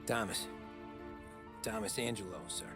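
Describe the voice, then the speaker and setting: A determined young working man acted by a man in a video game cutscene. He speaks politely and plainly in a lowish, restrained voice, with clear music behind him. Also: steady tone, a little slow, natural voice. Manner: restrained, respectful, plain-spoken.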